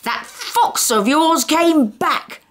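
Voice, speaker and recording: A woman acting out a furious middle-aged man with a volcanic temper, roaring and ranting in a storybook reading. His outrage climbs high and loud, the delivery swinging wildly. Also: expressive, drawn-out words, exaggerated voice. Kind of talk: audiobook character reading.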